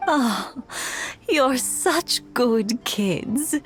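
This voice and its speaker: A woman voicing a kindly, aching elderly village woman in a video game, speaking gently and fondly. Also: fairly high pitch, lively intonation. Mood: fond, wistful. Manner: gentle, unhurried, fond. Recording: game music behind the voice.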